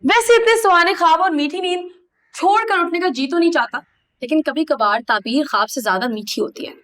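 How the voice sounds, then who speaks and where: An actress playing a cheeky, dreamy young daughter in a family TV drama, playful and sassy with a Pakistani accent. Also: female voice, very high-pitched, fairly quick, fairly bright tone. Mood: teasing. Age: young adult. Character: dreamy, cheeky young middle-class daughter, cocksure about riches.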